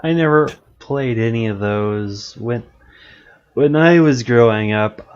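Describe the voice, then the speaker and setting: A man's voice: on the low side, clear, resonant tone. A man hosting a live-stream interview, talking in a dry, matter-of-fact way. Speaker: stream host. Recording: dull lo-fi stream audio.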